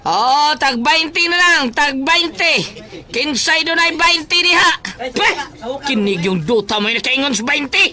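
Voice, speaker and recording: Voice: high voice, lively intonation, measured pace, bright, forceful tone, heavily acted. A performer playing a wheedling, jokey villager in an audio drama, pleading and teasing repetitively. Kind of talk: comic horror audio drama acting.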